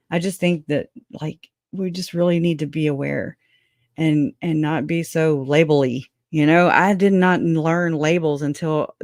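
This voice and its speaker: A woman's voice: low voice, a little mellow, dry recording, studio quality. A woman co-hosting a live stream in casual, opinionated chat. She swears freely, laughs often and acts out her own reactions.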